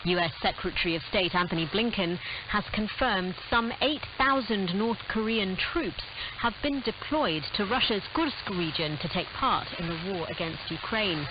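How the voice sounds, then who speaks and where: A woman's voice: medium pitch, normal speed. A woman anchoring a scripted radio news bulletin, heard over shortwave radio. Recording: muffled shortwave radio with heavy hiss.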